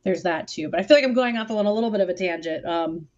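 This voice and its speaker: A woman's voice: medium pitch, fast, a little mellow, muffled recording. A woman chatting casually on a panel as a burlesque performer, in a rambling, informal way full of fillers.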